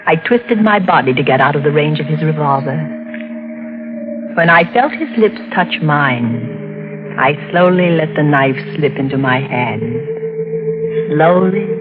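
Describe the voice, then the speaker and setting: An actress playing a scheming, unhinged woman murderer in a 1940s radio drama, speaking in a breathy, theatrical way. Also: low voice. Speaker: accused widow. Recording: tinny old radio broadcast.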